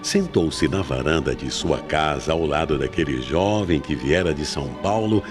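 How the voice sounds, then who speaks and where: Very deep Brazilian male radio narrator. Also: lively intonation, moderate pace. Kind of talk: dramatic scripted mystery radio narration. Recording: music behind narration. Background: music.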